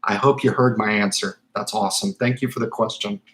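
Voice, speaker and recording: A man in his thirties hosting a casual livestream, reading chat questions and answering in an animated, rambling way with many fillers and restarts. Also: on the low side, a little fast.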